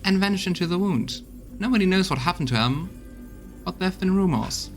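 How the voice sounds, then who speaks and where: A man's voice: high voice, expressive, a little fast, faint music behind. A man voicing a loyal, weary female army officer in a video game, talking in an earnest, folksy way. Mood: grim, earnest. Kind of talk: voiced video game dialogue.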